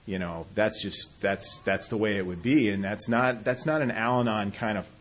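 A man sharing his own recovery story in a halting, self-deprecating way, often restarting sentences and laughing at himself. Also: fairly low pitch, fairly bright tone. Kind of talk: personal testimony talk.

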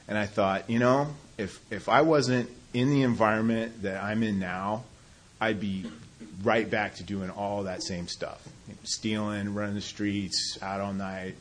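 A man sharing his own recovery story in a halting, self-deprecating way, often restarting sentences and laughing at himself. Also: fairly low pitch, a little hiss or hum. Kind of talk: personal testimony talk.

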